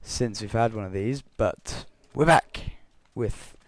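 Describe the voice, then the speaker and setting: A young man with a croaky voice from being unwell, rambling through gameplay commentary and a story, correcting himself often with chuckling asides. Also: on the low side, takes it slowly, dry recording. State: croaky voice from illness. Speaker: Minecraft let's player.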